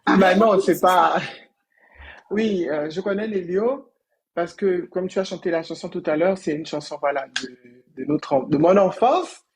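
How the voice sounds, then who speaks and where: A man's voice: sits high, measured pace. A man chatting casually as a panel guest, with faint noise in the recording. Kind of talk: casual chat.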